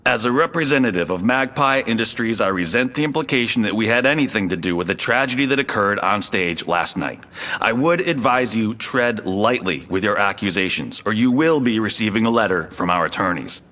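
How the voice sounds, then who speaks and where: Cold, forceful corporate suit. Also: male voice, lowish voice, lively intonation, a little fast. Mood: veiled menace. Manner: formal, clipped, condescending. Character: cold, smug male corporate representative in a suit. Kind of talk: audio drama acting.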